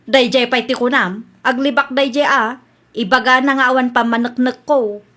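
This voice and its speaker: Woman playing a shrill-bright, high-voiced nagging wife. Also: fairly even intonation, moderate pace. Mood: indignant. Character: nagging, suspicious wife accusing her neighbour of theft. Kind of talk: Ilocano radio comedy drama acting.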